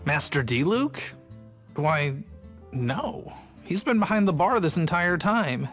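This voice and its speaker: An unhurried tavern customer. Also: male voice, on the high side, very animated, a bit bright, soft background music, full character voice. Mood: calm. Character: calm male tavern customer.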